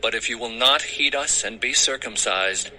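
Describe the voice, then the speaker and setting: An actor playing an indignant, unyielding brother of an ancient clan in an audio Bible drama, speaking firmly. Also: male voice, medium pitch, normal speed, sharp tone, a little hiss or hum, poor audio. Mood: firm refusal.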